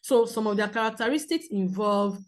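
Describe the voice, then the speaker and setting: A woman counsellor with a loud voice, teaching a group in a clear, measured way with examples. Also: medium pitch. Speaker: premarital counsellor. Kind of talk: teaching a seminar talk.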